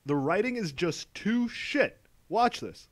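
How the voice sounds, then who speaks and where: A man with a fairly high voice delivers mocking commentary on a video. He sounds exasperated, swears freely and stumbles over his words. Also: animated, slow pace.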